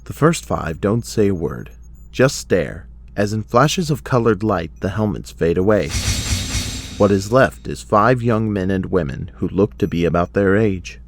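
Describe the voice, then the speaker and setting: A man's voice: low-pitched, animated, unhurried, gravelly, a little hiss or hum. A man reading scripted dramatic narration as the narrator of an audio drama.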